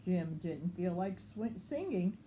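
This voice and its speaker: An older woman reads a picture book aloud for children. Her pace is slow and her pitch moves in an animated way. There is some noise in the background. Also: low-quality recording. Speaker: grandmother reading a picture book. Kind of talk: storybook read-aloud for children.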